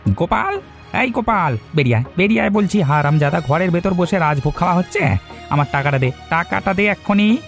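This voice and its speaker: A man's voice: high voice, lively intonation, fairly quick, faint music behind. A man voicing a hot-tempered, blustering village butcher in a Bengali cartoon. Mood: furious, threatening. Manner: blustering, tags threats with questioning "hyan". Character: hot-tempered, threatening village butcher, quick to take offence.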